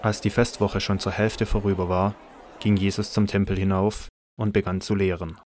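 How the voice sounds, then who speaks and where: A man's voice: low voice, flat delivery, normal speed, a little mellow. A man reading scripted narration for a Bible audio drama.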